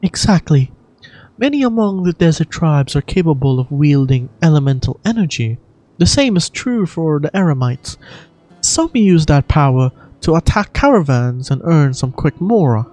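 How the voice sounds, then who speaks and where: An amateur voice actor playing a calm, courteous female guardian from a video game, speaking in a measured, cautioning way. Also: medium pitch, close to an everyday voice. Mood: composed.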